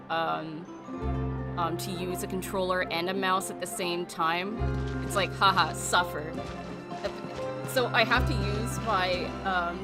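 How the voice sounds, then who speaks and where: A woman game streamer chatting casually and playfully on a livestream, scattered and self-deprecating. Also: lowish voice. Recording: loud music behind voice. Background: music.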